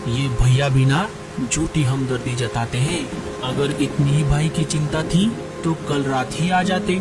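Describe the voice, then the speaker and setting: A man's voice: mid-range voice, fairly even intonation, a little slow, loud music behind the voice, close to an everyday voice. A man voicing a hungry, hard-luck male crow who talks to himself in a Hindi cartoon. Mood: resentful. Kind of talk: Hindi animated moral-story voice acting.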